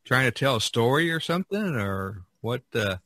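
Lively, bright-voiced man hosting casually. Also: mid-range voice, normal speed, no echo, clean studio sound. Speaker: podcast host interviewing artists. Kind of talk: casual play-acted interview.

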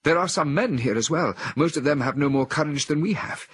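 A man's voice: fairly high pitch, expressive, brisk, fairly bright tone, slightly rough, no echo, pitched above the performer's own voice. A man voicing a frightened woman speaking in anguish under hypnosis, in an audiobook reading. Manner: anguished, sighing.

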